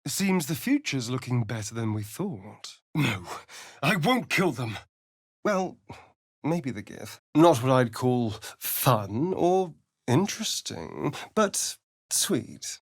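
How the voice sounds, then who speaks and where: A man's voice: big pitch swings, slow, dry recording, clean studio sound, full character voice. A man playing a sly, sardonic vampire spawn rogue in a video game, with an arch, drawling, theatrical delivery. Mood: sardonic, playful. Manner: arch, theatrical, drawling, with big swoops in pitch. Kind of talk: video game voice lines and narration.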